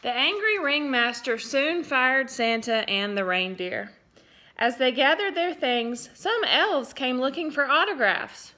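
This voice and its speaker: A woman teacher reading a picture book aloud to children, expressive and playful, breaking off for little asides to her listeners. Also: sits high, measured pace. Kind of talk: storybook read-aloud for children.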